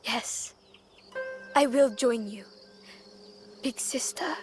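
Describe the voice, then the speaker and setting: A woman's voice: fairly high pitch, extremely slow. A woman playing a vengeful young pirate's daughter in a game set in feudal Japan, grave and intense. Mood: earnest. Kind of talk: video game cutscene acting. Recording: music behind the voice.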